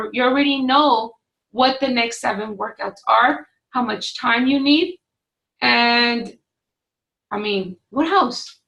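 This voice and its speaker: A woman in her forties, a fitness coach talking straight to her webcam from a small home office, firm and no-nonsense, her voice slightly hoarse. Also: fairly high pitch, a bit bright, low-quality recording. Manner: firm, no-excuses, pep-talk delivery. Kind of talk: direct-to-camera coaching talk. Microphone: webcam at desk distance.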